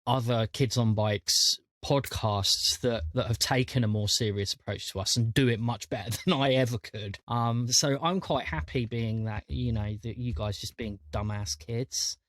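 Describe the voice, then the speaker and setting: A man chatting casually as a podcast host and game master, often repeating words as he thinks out loud. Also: medium pitch, normal speed, hardly any pauses, dry recording.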